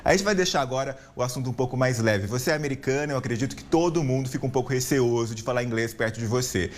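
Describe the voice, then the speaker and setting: A man of middle pitch hosts a TV news interview. He asks measured, formal questions and adds light banter. A faint noise sits under his voice.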